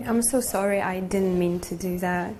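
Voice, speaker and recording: An actress playing a polite, obliging personal assistant in live-action game cutscenes. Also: female voice, fairly low pitch, steady tone, moderate pace, warm tone, faint music behind, close to an everyday voice. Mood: polite, deferential.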